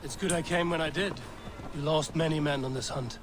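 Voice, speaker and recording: An actor playing a stoic, blunt Viking warrior with a measured manner in a video game. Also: male voice, a little high, steady tone, over music, close to an everyday voice. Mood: blunt, confident. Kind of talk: video game cutscene acting. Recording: clear background noise.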